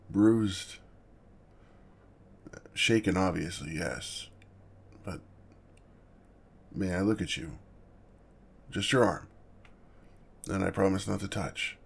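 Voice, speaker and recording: A man playing an awkward, apologetic, gentle male dragon in an ASMR roleplay, with a gravelly, husky voice and a halting, flustered manner. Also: low voice, exaggerated voice. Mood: concerned, gentle. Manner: halting, flustered, self-correcting, measured.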